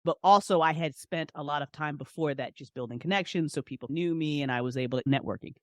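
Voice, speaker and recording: A woman's voice: deep voice, fast talker, darkish tone, no room sound, studio quality. A woman chatting casually as an interview guest, effusive and emphatic, with slangy, repetitive turns of phrase. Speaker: guest, fiction podcast writer and creator. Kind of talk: animated casual interview chat.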